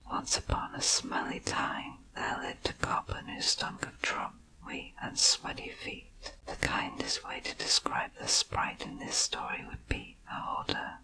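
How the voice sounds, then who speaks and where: A woman narrating a bedtime story in a gentle, soothing ASMR whisper. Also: very deep voice, unhurried, creaky, hardly any pauses, low-quality recording.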